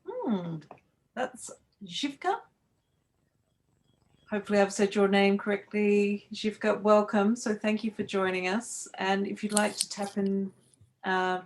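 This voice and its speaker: A middle-aged woman hosting a live online webinar as a coach trainer, speaking over a video call in a welcoming way with frequent restarts. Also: mid-range voice. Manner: welcoming, talks with many fillers and restarts.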